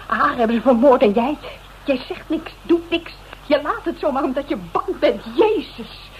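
A woman's voice: high-pitched, expressive, moderate pace. An actress playing a distressed, insistent woman in a Dutch radio drama, pressing for the truth with reproachful questions. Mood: grieving, reproachful. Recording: dull, noisy old radio drama recording.

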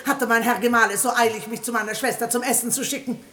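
An actress playing a sharp-tongued, defiant wife in a 1960 radio comedy, scolding and sarcastic. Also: female voice, fairly high pitch, steady tone, fast talker, natural voice. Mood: sarcastic outrage.

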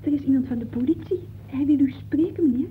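Radio drama maid, played by a woman: high, quick, deferential, on a narrow old recording. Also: lightly acted. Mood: polite, deferential. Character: polite housemaid announcing visitors. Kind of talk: Dutch radio play acting. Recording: muffled, noisy old radio play recording.

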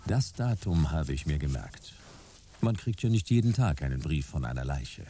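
A man's voice: rumbling low, big pitch swings, moderate pace, mellow, faint music behind. A man playing a dry, weary private detective and war veteran in a radio play, narrating and talking in laconic, sardonic lines. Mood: dry, resigned. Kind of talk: first-person noir radio play narration and dialogue.

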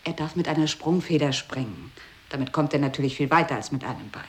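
A woman's voice: low-pitched, fast talker, slight background noise, close to an everyday voice. An actress playing a playful, rule-setting woman with a spiteful streak in an avant-garde radio play, speaking in cryptic, clipped lines. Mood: playful, instructing.

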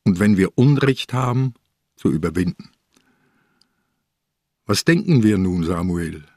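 An actor playing an ailing old police inspector in a German radio crime drama, calm, probing and relentless in a deliberate way. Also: male voice, low voice, no room sound, studio quality, heavily acted. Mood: grave, relentless. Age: older.

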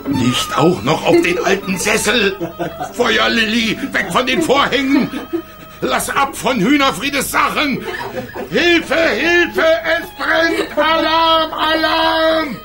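Shrill, gravelly, fretful household guardian. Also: male voice, takes it slowly, heavily acted. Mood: panicked. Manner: alarmed, exclamatory. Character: fretful, scolding, panicky guardian of household things. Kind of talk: children's radio play acting. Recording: music behind the voice. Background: music.

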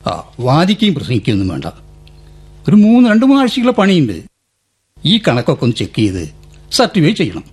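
An actor playing a patronising middle-aged senior man in a radio drama, coaxing and condescending, with a husky voice. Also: a little high, wildly swooping pitch, fairly quick, long pauses, heavily acted. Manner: condescending, coaxing, swooping intonation. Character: patronising senior man handing out petty legal work.

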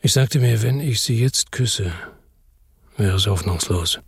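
An actor playing a brooding, world-weary hero who narrates a crime story in a radio drama, introspective and grave, with a husky voice. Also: male voice, on the low side, a little slow, no echo, clean studio sound, full character voice.

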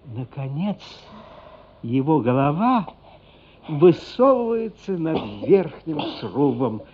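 An actor playing a grave storyteller, narrating a radio play with deliberate, theatrical, suspenseful phrasing. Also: male voice, fairly high pitch, big pitch swings, a little hiss or hum. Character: grave, dramatic storyteller of a childhood tale. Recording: muffled radio drama recording.

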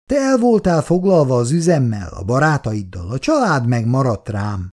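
A man's voice: mid-range voice, sing-song, a little mellow. A man voicing a worried, reproachful elderly wife who keeps nagging her sleepy husband.

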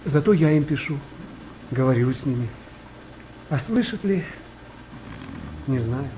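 An actor playing a kind, weary wartime sailor nearing fifty in a radio play, speaking gently and reflectively with a wry touch. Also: male voice, medium pitch, lively intonation, unhurried, slight background noise, lightly acted, poor audio. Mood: regretful. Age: middle-aged. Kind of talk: naturalistic radio play dialogue.

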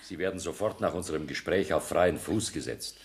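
Radio play casting: a man as a self-justifying high court judge, formal and measured, fairly deep voice. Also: close to an everyday voice. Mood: composed, formal. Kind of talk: 1970s German radio play acting.

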